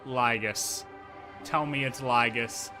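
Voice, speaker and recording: A man livestreaming a game with casual, excitable commentary, emphatic, repetitive and sweary, over game music. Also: mid-range voice, slow pace. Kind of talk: casual live reaction commentary.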